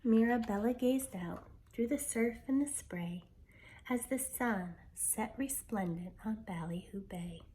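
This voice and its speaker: A woman reading a children's picture book aloud with expressive, dramatic storytelling delivery. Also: fairly high pitch, a little slow, a little hiss or hum, poor audio.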